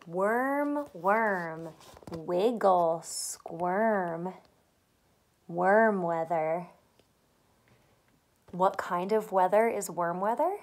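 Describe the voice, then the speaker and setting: A young adult woman, a teacher, reading a picture book aloud to small children with expressive delivery and simple chatty questions. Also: fairly low pitch, extremely slow, pauses often. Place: small furnished room at home, indoors.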